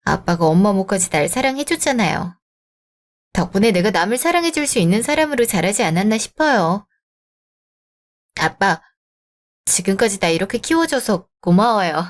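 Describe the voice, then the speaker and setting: A woman's voice: medium pitch, expressive, normal speed, pauses often. A woman in her thirties narrating and voicing the heroine of a radio drama. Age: 30s.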